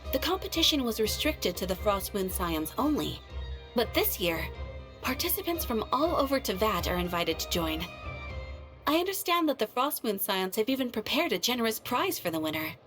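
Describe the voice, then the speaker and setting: Casting: courteous game guild receptionist, highish voice, very melodic intonation, formal and composed. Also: female voice. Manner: polite, formal, measured explanation. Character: polite, composed female adventurers' guild receptionist, helpful and formal. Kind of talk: video game character acting.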